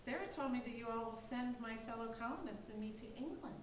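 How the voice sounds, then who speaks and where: A woman's voice: on the high side, quick pace, natural voice. Distant, echoey polite ghost girl played by a woman. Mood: polite, grateful. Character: Virginia, polite persuasive ghost girl of a lost colony. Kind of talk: staged reading of a play. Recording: distant echoey room, clear background noise.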